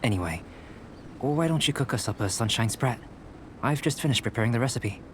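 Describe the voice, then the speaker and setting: A man playing a composed young alchemist in a video game, speaking in a measured, formal, analytical manner. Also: mid-range voice, lively intonation, noisy background, lightly acted. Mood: curious, composed. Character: calm, courteous young male alchemist and researcher. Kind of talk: video game character dialogue.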